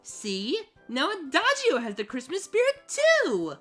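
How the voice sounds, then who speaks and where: A woman playing a teasing young housemate in a dramatized cartoon fan story, with a playful, casual, expressive delivery. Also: sits high, a little slow, heavily acted. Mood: gleeful. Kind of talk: dramatized fan fiction audio reading. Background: background music.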